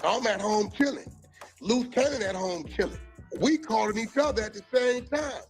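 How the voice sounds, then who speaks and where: American male, raised pitch, emphatic street-slang monologue calling people out, music underneath. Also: normal speed.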